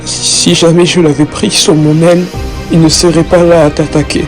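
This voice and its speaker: A man's voice: fairly high pitch, little pitch movement, moderate pace, lightly acted. Man acting earnest devout father over music. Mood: confessing regret. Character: devout, protective, remorseful father of a grown daughter. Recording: background music under the voice.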